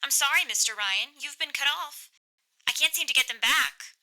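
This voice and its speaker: A woman acts out a switchboard operator from a 1950s radio play. Her voice is high and piercingly bright, polite and businesslike, never ruffled. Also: moderate pace, low-quality recording. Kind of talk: 1950s radio drama acting.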